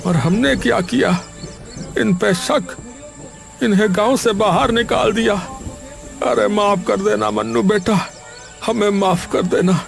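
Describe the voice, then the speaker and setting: Slow, creaky, high-pitched village headman, man acting. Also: music in the background, full character voice. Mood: remorseful. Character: emotional, repentant male village headman.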